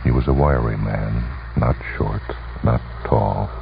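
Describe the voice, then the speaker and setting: A man narrating a story for radio in a deliberate, dramatic manner with weighty pauses. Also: very deep voice, fairly even intonation, vocal fry, slight background noise. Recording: dull lo-fi old radio recording. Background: music.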